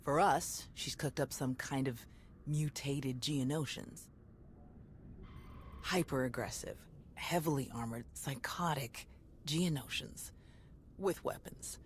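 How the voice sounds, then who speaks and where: A woman's voice: rumbling low, slow pace, pauses often, a little hiss or hum. A woman playing a tough, battle-hardened Jedi security chief in a video game, speaking in a blunt, sardonic, clipped way. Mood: brisk, wry. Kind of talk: video game cutscene voice acting. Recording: music behind the voice.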